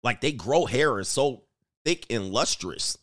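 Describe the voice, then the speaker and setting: A man's voice: mid-range voice, animated, unhurried, a bit bright, dry recording, studio quality. A man giving casual, rambling reaction commentary, joking and trailing off mid-thought. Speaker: YouTube commentator.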